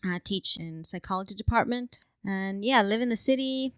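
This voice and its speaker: A woman's voice: mid-range voice, moderate pace, clear, resonant tone, dry recording. Icelandic lady guest, jokey, laughs a lot, dry close mic. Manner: joking, laughs often. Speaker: guest researcher. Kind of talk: casual interview. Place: remote studio.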